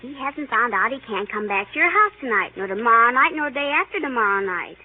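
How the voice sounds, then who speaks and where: A woman's voice: fairly high pitch, expressive, fairly quick. An actress playing a blunt, nosy little girl who loves to tattle, in a 1930s radio comedy. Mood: secretive, smug. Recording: tinny, noisy 1930s radio broadcast.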